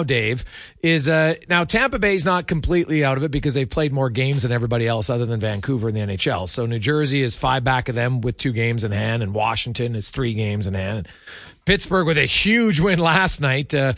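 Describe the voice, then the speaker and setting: A man's voice: a little high, expressive, fairly quick, a bit bright, no room sound, clean studio sound. A man hosting a sports show, chatting about hockey in an informal, chummy, conversational way. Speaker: sports radio host. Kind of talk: casual hockey talk.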